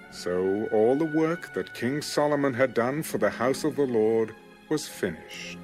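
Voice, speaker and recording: A man reading scripture as scripted audiobook narration, measured and clearly enunciated. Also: mid-range voice. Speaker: Bible narrator.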